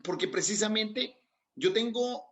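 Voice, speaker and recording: A man's voice: high voice, measured pace. A middle-aged man with a Salvadoran Spanish accent, answering political interview questions in a hesitant way, often repeating words. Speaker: interview guest, aspiring legislative candidate.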